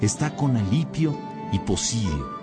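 A man's voice: mid-range voice, big pitch swings, a little slow. A man reading scripted narration that introduces a religious radio drama. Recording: prominent music under the voice. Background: music.

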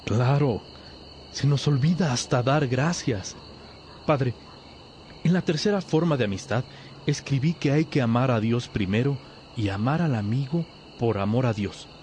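A man's voice: medium pitch, unhurried, darkish tone, a little hiss or hum, natural voice. An actor playing an eager, respectful young male novice with an inquisitive manner in a religious radio drama.

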